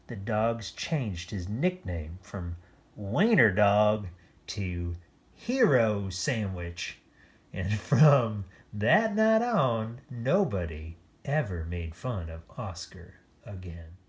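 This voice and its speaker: Read-aloud host for kids: unhurried, highly expressive, playful, chatty asides. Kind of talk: animated children's picture-book reading with chatty asides.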